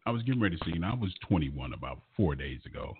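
A man's voice: low-pitched, big pitch swings, rapid-fire, narrow, tinny audio, background noise. A man hosting a conversational radio interview, encouraging and complimentary toward his guest. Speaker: radio show host interviewing a singer.

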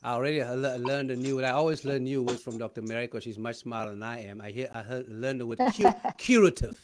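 A man's voice: mid-range voice, fast talker, noisy background. Joking male panel host. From Los Angeles. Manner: joking, many fillers. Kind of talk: casual panel hosting.

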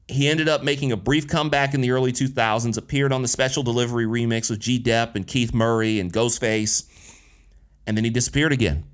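A man's voice: medium pitch, fairly bright tone. A man in his thirties from Detroit, hosting a solo podcast in a casual, candid way, with slang and cursing. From Detroit, USA. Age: 30s. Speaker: podcast host.

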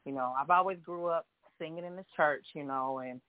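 A woman from Oklahoma calls in as a guest singer, talking softly and haltingly with plenty of fillers. Her voice comes through a thin, phone-like line with a dry, close sound. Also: low voice, no echo. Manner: soft-spoken, halting, many fillers. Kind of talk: phone-in interview.

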